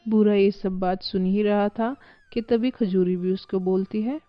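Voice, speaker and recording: A woman's voice: medium pitch, fairly even intonation, unhurried, no room sound, highs cut off. A woman narrating a scripted cartoon story for children.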